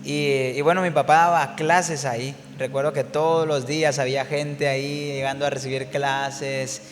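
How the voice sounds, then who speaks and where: A man from Guatemala, a worship singer telling his story in a relaxed interview, reflective and full of fillers and restarts. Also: mid-range voice, normal speed, in a large hall, a little hiss or hum.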